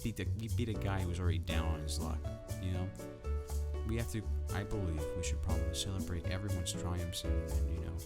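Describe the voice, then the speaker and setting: A man ranting casually to camera about football in a soft, calm, drowsy way. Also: low-pitched, fairly quick, over loud music. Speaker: football vlogger.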